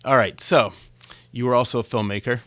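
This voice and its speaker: A man's voice: mid-range voice, fairly even intonation, brisk, fairly bright tone, no echo. A man hosting a conversational interview, chatty and given to telling anecdotes. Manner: chatty, tells anecdotes with acted-out quotes. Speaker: talk show host and interviewer.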